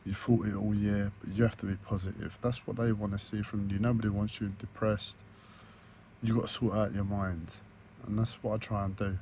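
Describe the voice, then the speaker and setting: A man with a croaky, hoarse voice, talking hesitantly with many fillers and restarts in a personal video diary recorded on a tablet. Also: on the low side, flat delivery, a little fast, dark tone, a little hiss or hum. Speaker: vlogger.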